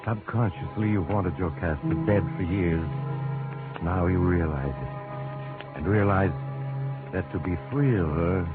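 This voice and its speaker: An actor playing an ominous, knowing narrator, deliberate and insinuating, in old-time radio suspense style. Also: male voice, low voice. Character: ominous knowing narrator addressing the protagonist as you. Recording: dull vintage radio recording with music.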